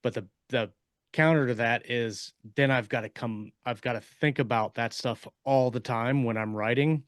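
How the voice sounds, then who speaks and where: A man's voice: medium pitch, moderate pace, clear, resonant tone, no echo, studio quality. A man co-hosting a podcast, chatting casually as a fiction writer. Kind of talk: casual chat.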